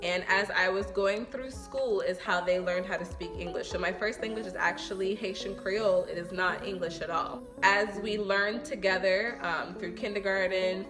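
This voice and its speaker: A young woman speaks earnestly and reflectively in a casual vlog, her voice sitting a little low for a woman. She pauses often with ums as she thinks aloud. Soft music plays faintly behind her. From New York. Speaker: vlogger. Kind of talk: casual get-to-know-me talk to camera. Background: soft background music.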